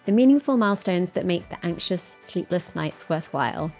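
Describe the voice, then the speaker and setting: A woman's voice: on the low side, animated, moderate pace, darkish tone, faint music behind. A young woman hosting a travel podcast interview in an upbeat manner, laughing often. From United Kingdom. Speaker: podcast host.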